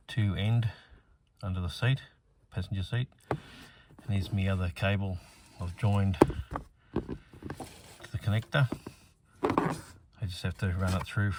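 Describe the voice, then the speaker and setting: A man's voice: lowish voice, background noise. A man presenting a hands-on DIY how-to in a plain, matter-of-fact, step-by-step way.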